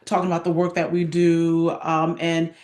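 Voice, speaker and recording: A woman's voice: lowish voice, monotone, moderate pace, a little mellow. An American woman speaking conversationally as an interview guest, introducing herself and her work. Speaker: guest, theater advancement director and actress. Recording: echoey room sound.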